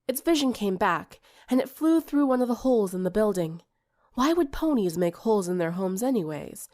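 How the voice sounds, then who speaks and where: A woman's voice: mid-range voice, expressive, moderate pace, a little mellow. A woman reading a scripted story aloud as an audiobook narrator. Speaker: audiobook reader.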